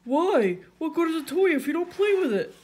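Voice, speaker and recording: Woman doing a loud dopey cartoon starfish guy. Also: extremely high pitch, sing-song, moderate pace, voice pushed up. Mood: puzzled. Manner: loud, childlike, blustery. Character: loud, dim, good-natured male cartoon starfish best friend.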